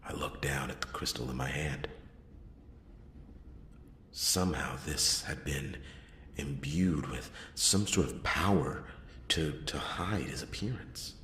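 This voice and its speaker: Man playing a very deep, hushed noir detective. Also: roomy sound, a little hiss or hum, heavily acted. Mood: hushed wonder. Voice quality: hushed, breathy, often dropping into whisper. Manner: slow, halting, dramatic pauses. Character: brooding male noir detective recounting a murder case. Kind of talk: noir ASMR roleplay, first-person narration.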